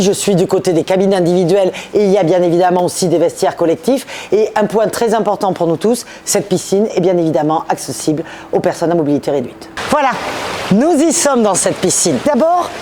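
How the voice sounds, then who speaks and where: A woman's voice: medium pitch, dark tone, slight background noise. A woman presenting a guided tour to viewers, explaining things directly as a local official. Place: on location at a new indoor swimming pool and outside it.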